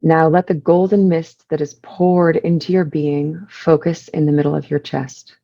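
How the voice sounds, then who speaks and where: A woman leading a guided meditation, giving soothing instructions in measured short phrases with pauses between them. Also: low voice, steady tone, vocal fry, mellow, highs cut off.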